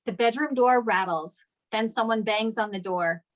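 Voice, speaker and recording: A woman's voice: a little high, normal speed. A woman narrator reading scripted stage directions at a screenplay table read.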